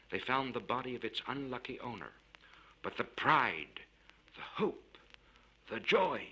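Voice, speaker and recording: A man narrates a classic story with a forceful, very bright voice. His pitch moves widely as he shapes each phrase in a measured, theatrical manner. It has the sound of a spoken-word record from the vinyl era. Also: poor audio. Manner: measured, expressive, theatrical delivery. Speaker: audiobook narrator. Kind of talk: dramatic literary story reading.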